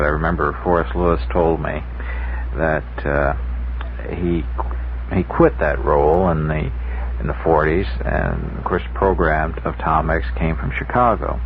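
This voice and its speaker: Deep guy guesting on old radio, explains haltingly, dull sound with faint noise. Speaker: guest author interviewed on radio. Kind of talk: casual interview talk.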